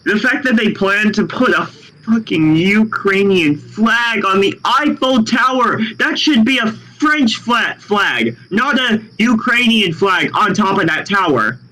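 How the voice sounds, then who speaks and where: Slow-talking man with a bright voice. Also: high-pitched, expressive, poor audio. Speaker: call guest. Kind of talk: casual group voice chat.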